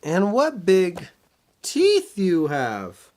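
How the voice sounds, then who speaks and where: A man's voice: sits high, huge pitch swings, drawn-out words, a little mellow, voice pushed up, full character voice. Rich: A sweet, polite little storybook girl, as a dad puts her on with a raised, sing-song voice that climbs and dips with real liveliness. She speaks carefully and a little breathlessly, eager to be nice to everyone. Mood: wondering.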